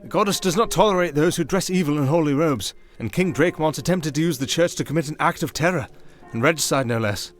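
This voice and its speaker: A man voicing a kindly, wry old high priest in a fantasy audio roleplay, with fatherly, ceremonious, unhurried phrasing. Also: soft background music, lightly acted. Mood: solemn, wry. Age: older.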